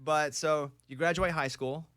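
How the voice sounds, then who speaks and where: A man from Arkansas hosting a radio show, asking quick questions and teasing his guest in a casual studio chat. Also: on the high side, steady tone, fairly bright tone, no room sound. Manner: joking, teasing, fast-talking. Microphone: studio mic.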